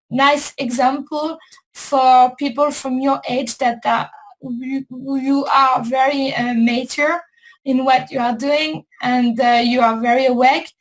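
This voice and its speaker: Slow, high-voiced woman host, groping for words. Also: a little hiss or hum, low-quality recording. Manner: slow, repetitive, searching for words. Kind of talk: casual chat.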